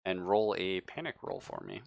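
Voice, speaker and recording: A man running a horror tabletop game as game master, narrating in deliberate, vivid detail and chatting casually with players. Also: deep voice, very animated, vocal fry, no room sound. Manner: slow, deliberate, vivid descriptive storytelling with pauses.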